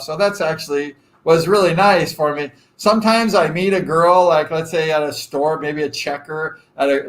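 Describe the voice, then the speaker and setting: Man around sixty, live-stream host, rambling chatty storyteller, self-deprecating jokes, slightly raised pitch. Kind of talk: casual live chat with viewers.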